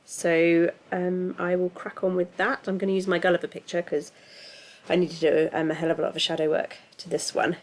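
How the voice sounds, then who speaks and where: A woman with a stuffy, bunged-up voice from a cold, talking casually through a software tutorial with lots of ums. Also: on the low side, little pitch movement, fairly quick. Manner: chatty, self-deprecating, filler-heavy. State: congested from a cold. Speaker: tutorial host.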